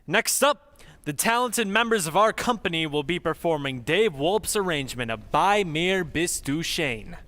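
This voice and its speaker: A man's voice: on the high side, lively intonation, normal speed, pushed and bright, slight background noise. A young man announcing an old-time radio show in a scripted, folksy style.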